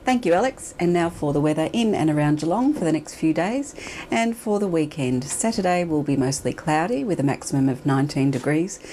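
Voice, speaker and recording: Low, mellow-voiced woman reading the news. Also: expressive, a little hiss or hum. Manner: measured, formal. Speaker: news presenter.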